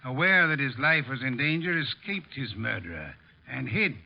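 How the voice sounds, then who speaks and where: An actor playing a shrewd, lordly middle-aged detective in an old radio drama, pompous and precise. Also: male voice, medium pitch, big pitch swings, normal speed, creaky. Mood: lordly, explaining. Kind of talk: 1940s-50s radio drama acting. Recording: muffled old radio broadcast recording.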